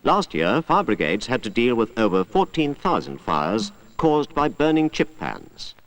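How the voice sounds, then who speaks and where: A British man narrating a 1980s public information film in a measured, instructive way, as an earnest safety narrator. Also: mid-range voice, huge pitch swings. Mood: measured, serious. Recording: noisy old 1980s film soundtrack.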